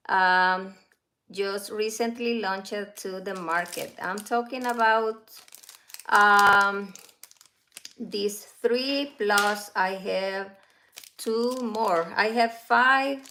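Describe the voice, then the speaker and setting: A woman and mother whose first language is Spanish, hosting a casual live stream at home, talking slowly with many fillers and small laughs. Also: medium pitch, sharp tone, a little hiss or hum. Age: adult, a mother. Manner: slow, halting, with frequent fillers and light laughs.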